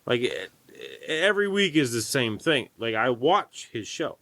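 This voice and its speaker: A man's voice: medium pitch, very animated, normal speed, fairly bright tone, dry recording. Man in his thirties, wry banter, dry close sound, casual podcast talk. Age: 30s. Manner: wry, laid-back banter. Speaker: podcast co-host. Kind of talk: casual chat.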